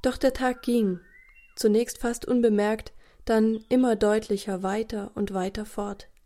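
A female narrator tells a children's story from a script. Her voice is warm and mellow at a middle pitch, and her storytelling is expressive and deliberate.

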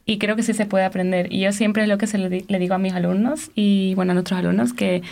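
A woman's voice: mid-range voice, steady tone, fast, creaky, a little mellow. A woman from Spain with a Spanish accent from Spain, talking casually as an interview guest and teacher. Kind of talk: casual personal interview talk.

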